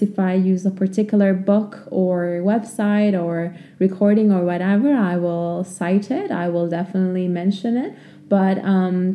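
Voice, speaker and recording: A young woman with a Turkish accent, hosting a casual podcast lesson and talking unscripted with many pauses and fillers. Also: medium pitch, warm tone. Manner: unscripted, many ums and restarts. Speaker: language teacher, podcast host.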